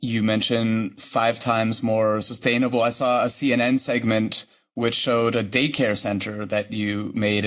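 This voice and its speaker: A man hosting an interview podcast, reading a scripted introduction and then asking relaxed questions. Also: mid-range voice. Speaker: podcast host.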